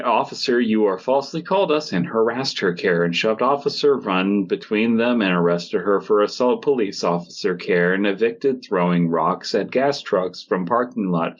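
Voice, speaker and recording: A man's voice: lowish voice, dull audio. A man co-hosting a podcast, reading online stories aloud for comedy and bantering in a goofy, mocking way. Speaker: podcast co-host.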